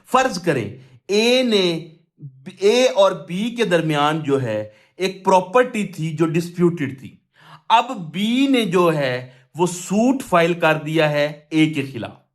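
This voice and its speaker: Slow, high-pitched Pakistani man lecturing. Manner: didactic, asks and answers own questions, switches into English terms. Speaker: law lecturer. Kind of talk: explanatory lecture, reading statute and explaining simply.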